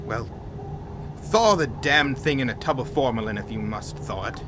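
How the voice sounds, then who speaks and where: An actor playing a gruff polar researcher in an audio drama, blunt, vehement and sardonic. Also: male voice, medium pitch, lively intonation, moderate pace, noisy background, close to an everyday voice. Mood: vehement, uneasy.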